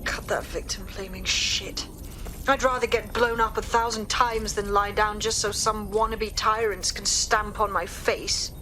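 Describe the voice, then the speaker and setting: An actress playing a blunt, defiant, sweary resistance hacker leader in a video game. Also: female voice, mid-range voice, fairly even intonation, unhurried, sharp tone, soft background music, poor audio. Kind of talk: scripted video game dialogue.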